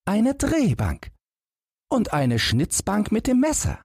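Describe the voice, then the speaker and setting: A man's voice: high voice, wildly swooping pitch, slow, darkish tone, husky, dry recording, clean studio sound, voice pushed up, full character voice. A man voicing a naive, simple young lad in a fairy tale, with a guileless, wistful way of talking. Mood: naive, earnest.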